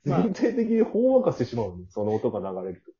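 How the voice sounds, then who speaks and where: A man's voice: mid-range voice, wildly swooping pitch, fast talker, highs cut off, crowd noise. A man with a western Japanese regional accent in casual comic banter, firing back teasing, incredulous retorts as a podcast host.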